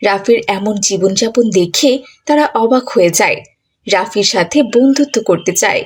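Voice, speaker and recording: A woman with a husky voice narrating a scripted children's story. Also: medium pitch.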